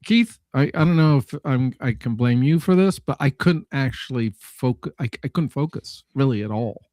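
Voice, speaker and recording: A man chatting casually as a panelist, talking in a halting, rambling way and often correcting himself. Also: mid-range voice, warm tone, no room sound, studio quality. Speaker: panelist on a live audio show. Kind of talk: casual unscripted chat.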